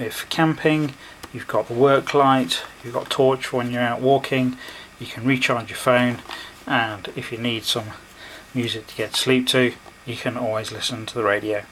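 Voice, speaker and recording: A man presents a hands-on product demonstration in a medium-pitched voice. He goes step by step in short, unhurried phrases. The home recording is plainly noisy, with clicks. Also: little pitch movement, fairly bright tone. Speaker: product reviewer.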